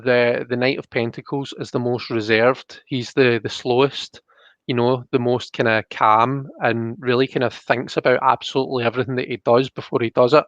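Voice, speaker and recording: A man hosting a live card reading, talking casually and explaining as he thinks aloud, with halting phrasing. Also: mid-range voice, level tone, fairly bright tone, dry recording, highs cut off. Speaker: host of a live tarot reading.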